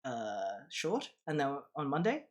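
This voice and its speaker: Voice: medium pitch, huge pitch swings, measured pace. Rambling Australian streamer, laughing often. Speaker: streamer, channel host. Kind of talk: casual stream chat.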